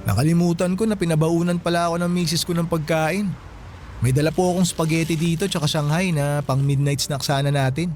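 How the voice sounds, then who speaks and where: A man playing a respectful, curious younger married man who listens gently in a Filipino radio drama. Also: a little high, steady tone, a little fast, lightly acted. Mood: friendly, polite. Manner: polite, deferential, softly inquisitive. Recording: music playing under the voice.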